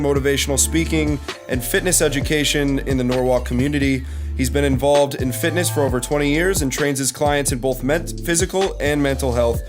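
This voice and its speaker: A man co-hosting a podcast, nasal from a cold, reading a guest introduction from notes. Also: fairly high pitch. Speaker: podcast co-host.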